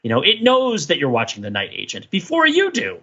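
A man's voice: on the high side, wildly swooping pitch, brisk, pushed and bright, breathy edge, highs cut off. A man talking casually and analytically as a podcast host, discursive and emphatic in his delivery.

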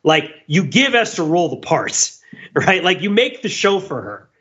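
A man talks as a podcast co-host in a casual but analytical way. His voice sits a little high and moves animatedly as he presses each point, tagging it with "right?". The sound is a touch dull and lo-fi. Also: sing-song, measured pace, a bit bright. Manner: emphatic, repeatedly checks with "right?".

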